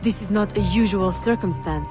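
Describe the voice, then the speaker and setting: A woman's voice: medium pitch, moderate pace, music in the background, natural voice. Actress as determined, guarded young female rebel. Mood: resolute. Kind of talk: video game dramatic dialogue.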